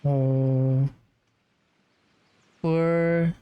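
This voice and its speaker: A gamer giving casual, low-key commentary in murmured reactions while playing, with game music behind. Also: fairly even intonation, very slow, smooth, highs cut off. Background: video game music.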